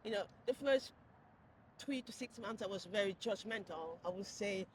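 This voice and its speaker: Woman, mid-range voice, halting, thoughtful, restarts often, faint street noise. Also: mid-range voice. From Cameroon. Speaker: interviewee, passerby. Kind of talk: casual street interview. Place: outdoors on a city street.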